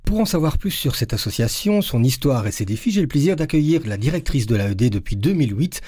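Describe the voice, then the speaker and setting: A courteous radio host with a Belgian French accent, his voice mellow and warm. He speaks calmly into a dry studio microphone. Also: medium pitch, fast talker, slightly rough, no room sound. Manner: calm, courteous interviewer. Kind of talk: radio interview.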